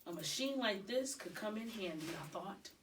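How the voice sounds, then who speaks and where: A woman reading a children's novel aloud expressively, phrase by deliberate phrase. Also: medium pitch, animated, fairly bright tone, recorded from a distance, a little hiss or hum. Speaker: storybook reader.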